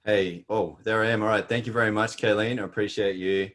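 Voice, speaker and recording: Deep-voiced Australian man, earnest and halting. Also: steady tone, a bit bright. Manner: earnest, halting, with many fillers and restarts. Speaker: presenter. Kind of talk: motivational webinar presentation.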